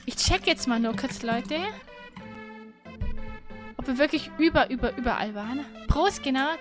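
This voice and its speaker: A woman's voice: sits high, normal speed. A woman co-hosting a gaming livestream in casual talk, chatty and informal. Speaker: gaming stream co-host. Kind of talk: casual livestream chat and game recap. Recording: music playing behind the voice. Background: game music.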